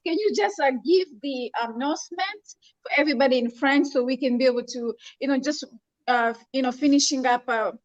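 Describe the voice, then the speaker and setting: High-pitched enthusiastic woman host, lo-fi sound. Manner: enthusiastic, mixing English and French. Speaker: show host. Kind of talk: live show hosting.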